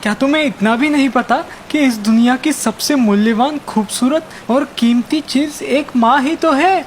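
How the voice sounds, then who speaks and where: A woman voicing a gentle, wise young magical deer in a children's cartoon, earnest and gently chiding. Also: high voice, normal speed, slight background noise, close to an everyday voice. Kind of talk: Hindi children's cartoon dialogue.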